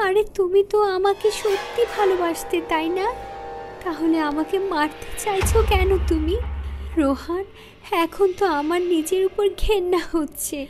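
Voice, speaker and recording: A woman's voice: extremely high pitch, moderate pace, music in the background. A woman voicing a wronged young woman, bitter and proud, with wounded, dramatic delivery in a cartoon horror story. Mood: hurt, betrayed.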